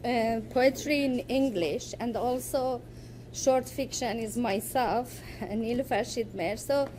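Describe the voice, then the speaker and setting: A woman speaks slowly and easily in a high voice, presenting her books to a lecture hall audience. Her is Farsi, and she laughs lightly between points. There is a faint room hum behind her. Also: a little mellow. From Iran. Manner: unhurried, with light laughs and fillers. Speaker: poet and event host.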